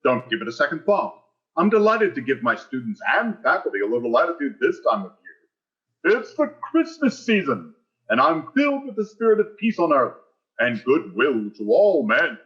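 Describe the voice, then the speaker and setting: A man's voice: fairly high pitch, sing-song, moderate pace, a bit bright. A pompous school principal acted by a man in an old-time radio sitcom. His delivery is florid and slyly wheedling, swinging up and down with great animation. The sound is slightly muffled and dull. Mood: falsely jovial. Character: pompous, wheedling, greedy male school principal, falsely magnanimous. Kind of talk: 1940s radio sitcom acting.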